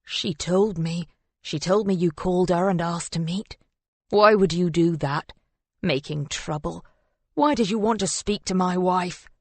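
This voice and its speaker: A woman's voice: on the low side, measured pace, dry recording. Woman voicing an anguished, accusing husband in an audiobook. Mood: angry, disbelieving. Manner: hissing, moaning, crying out. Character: anguished, angry husband, adult man, grief-stricken and accusing.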